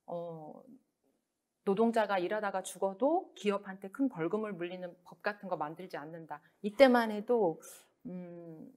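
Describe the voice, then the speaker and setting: A woman author giving a book talk, speaking in a soft, measured way with many pauses and fillers. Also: mid-range voice.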